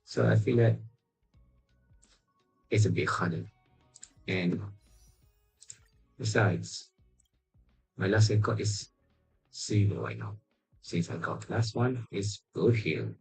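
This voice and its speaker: A man giving casual gameplay commentary in a halting, mumbled way. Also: lowish voice, lively intonation, creaky, darkish tone, husky, faint music behind, rough recording. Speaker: game streamer.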